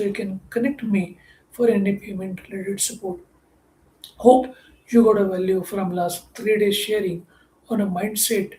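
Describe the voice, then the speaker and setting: A man's voice: sits high, warm tone, a little hiss or hum. Earnest Indian-accented male coach, echoey room. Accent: Indian English. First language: Marathi. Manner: earnest, repetitive phrasing, often says "could be". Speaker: mindset coach. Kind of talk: motivational teaching talk.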